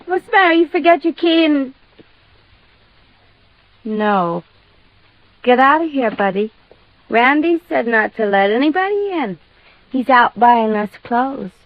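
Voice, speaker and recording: A woman's voice: high voice, animated, measured pace, long pauses, dry recording. An actress playing a gullible, tipsy gangster's girlfriend in a 1950s radio detective drama, slangy and easily flustered. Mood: brash, tipsy. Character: tipsy, gullible blonde moll, frightened of her boyfriend. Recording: dull, band-limited old radio recording.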